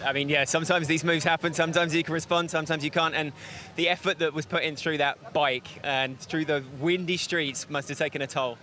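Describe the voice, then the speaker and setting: Bright-voiced male post-race interviewer. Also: fairly high pitch, fairly even intonation, a little fast, hardly any pauses. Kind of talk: casual sports interview. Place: outdoors at a race venue.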